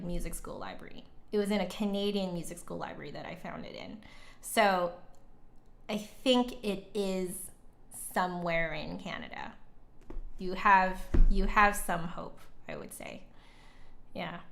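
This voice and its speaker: A woman's voice: medium pitch, vocal fry, a bit bright. A woman with a mid-range voice chats casually as a livestream host. She talks to her viewers in a rambling, giggly way, drifting into asides as she goes.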